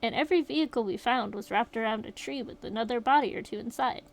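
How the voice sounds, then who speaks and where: A woman voicing a shaken young survivor, reading the account aloud in a steady, rueful way. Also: fairly high pitch, fast, vocal fry. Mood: grim retelling. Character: shaken young survivor recounting a horror night.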